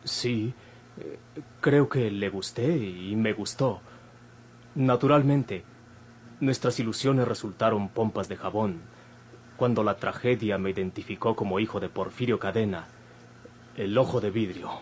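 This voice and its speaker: Actor as young male patient, Mexican accent, lowish voice, measured, guarded, earnest, vintage radio soap, faint hum. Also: close to an everyday voice. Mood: polite, candid. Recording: old noisy radio drama recording, hum.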